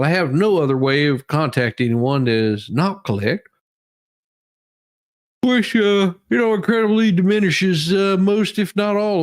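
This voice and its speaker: A man giving a mock-dramatic reading as a demanding woman inmate pleading her own case, with halting, emphatic delivery. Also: on the high side, natural voice.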